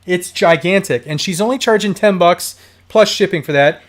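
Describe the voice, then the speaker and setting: A man hosting a casual livestream from home, chatting and reading out viewer comments, with a voice going hoarse from a dry throat. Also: high voice, moderate pace. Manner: chatty, rambling, laughs often. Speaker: livestream host.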